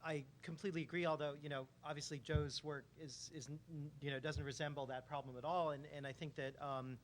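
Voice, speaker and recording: A man speaking as a university research center director on a forum panel, relaxed and telling anecdotes. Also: on the high side, brisk, a little hiss or hum. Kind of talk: panel discussion.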